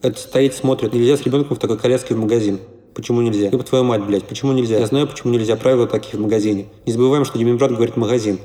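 An actor narrating everyday city life in an audio play, in a deadpan manner. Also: male voice, mid-range voice, rapid-fire, far from the mic, close to an everyday voice. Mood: deadpan, hurried. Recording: roomy, off-mic, crowd behind.

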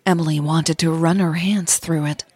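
A native Dutch-speaking woman with a husky voice, narrating a scripted podcast in a measured way. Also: low voice, steady tone, a little mellow. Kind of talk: scripted audio story narration.

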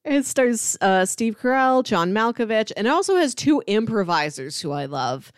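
A woman chatting casually as a podcast co-host, pitching shows to her partner. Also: sing-song, normal speed, clean tone, no room sound, studio quality.

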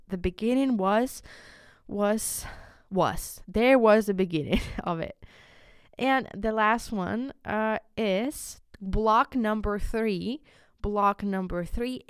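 Young woman w ukrainian accent doing a solo podcast, smooth clear voice, dry close sound, earnest n halting. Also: medium pitch, dry recording. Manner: earnest, halting, repeats words for emphasis. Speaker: podcast host. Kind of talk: informal solo podcast monologue.

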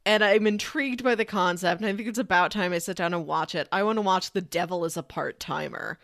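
A woman's voice: lowish voice, fairly quick, creaky, fairly bright tone, no echo, studio quality. A woman co-hosting a podcast, talking in a casual, conversational way. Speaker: podcast co-host. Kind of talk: casual chat.

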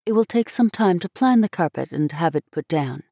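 Woman voicing a gentle mother, storybook, calm, reflective, lower voice, dry recording. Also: normal speed, no echo.

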